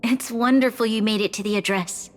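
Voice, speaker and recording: Woman voicing an earnest, high-voiced young guardian leader. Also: steady tone, close to an everyday voice. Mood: relieved, sincere. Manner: formal, measured, solemn. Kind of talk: dramatic video game acting, oratory.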